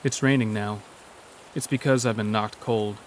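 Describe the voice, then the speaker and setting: A man's voice: fairly low pitch, fairly even intonation, normal speed, a little hiss or hum, close to an everyday voice. A man acting as a hunted logger narrating an audio horror drama in a confessional, wry way. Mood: tense. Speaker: narrator and protagonist. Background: music.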